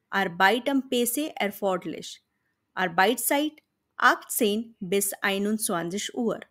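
A woman with an Indian accent voicing an encouraging, practical female friend in slow, carefully pronounced dialogue for learners. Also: mid-range voice. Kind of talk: scripted dialogue read for learners.

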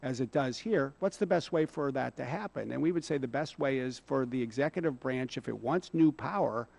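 A middle-aged man testifying at a hearing, speaking in a measured, persuasive way into a table microphone in a large hearing room. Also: medium pitch, gravelly, darkish tone. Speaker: hearing witness. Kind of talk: congressional testimony.

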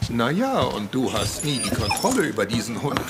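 Lively-pitched, testy gentleman doctor. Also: normal speed, a crowd in the background. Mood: irritated. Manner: formal, dry. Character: stiff, testy English gentleman doctor, condescending. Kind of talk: retro German detective radio play acting.

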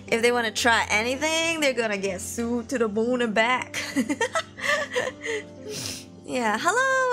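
A woman's voice: a little high, a bit bright. A young woman chatting casually with livestream viewers, bubbly and giggly, her voice tired and sniffly. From Malaysia. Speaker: VTuber streamer. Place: home room.

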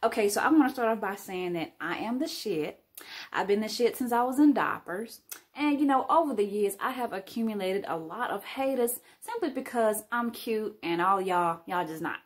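A woman's voice: medium pitch, lively intonation, moderate pace, natural voice. A woman voicing a cocky show-off who brags and mocks others with sass. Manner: brash, sassy bragging. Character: cocky, boastful woman who insults everyone.

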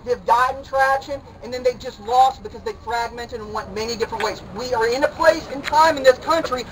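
A man's voice: very high-pitched, fairly quick. A young man giving a rousing rally speech through a megaphone at an outdoor protest, calling for the crowd's response. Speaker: rally speaker. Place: outdoor city plaza protest. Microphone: handheld megaphone, caught by a camera some way off. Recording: distant megaphone amid a noisy crowd.

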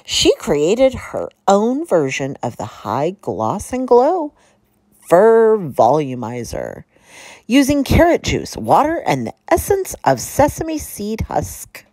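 Female picture-book narrator: unhurried, very animated, deliberate emphasis, dry close sound. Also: on the low side, no room sound, poor audio. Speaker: storyteller reading aloud to children.

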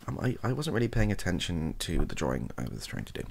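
A young British man chatting softly and casually in an ASMR style, rambling and hesitant, with a gravelly, husky voice. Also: deep voice, sing-song, quick pace, noisy background. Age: young adult. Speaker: content creator. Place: small cluttered bedroom, indoors.

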